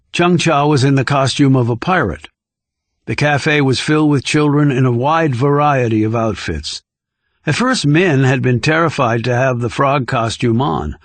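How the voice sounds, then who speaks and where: A man's voice: mid-range voice, no echo. A man reading scripted thriller narration as an audiobook narrator.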